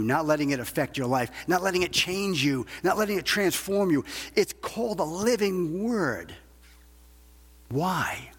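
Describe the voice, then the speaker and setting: A man's voice: fairly high pitch, breathy edge. A man preaching a sermon to a congregation as a preacher, emphatic, repeating phrases and asking rhetorical questions. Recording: long hall reverb.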